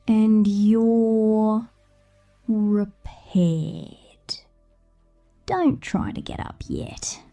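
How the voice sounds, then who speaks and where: Woman doing possessive controlling repair girl asmr, soft whispery, really slow, dark mellow tone. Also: medium pitch. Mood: soothing, possessive, then possessive, doting. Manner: slow, intimate, domineering. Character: possessive, controlling female technician doting menacingly on a robot. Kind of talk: ASMR roleplay. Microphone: close ASMR microphone.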